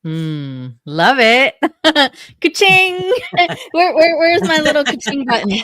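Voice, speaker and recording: A woman hosting a panel over a video call, speaking slowly and laughing easily. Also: high-pitched, very animated, a bit bright, dry recording, clean studio sound. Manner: slow, drawn-out, laughing easily. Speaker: host. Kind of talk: casual Zoom panel hosting.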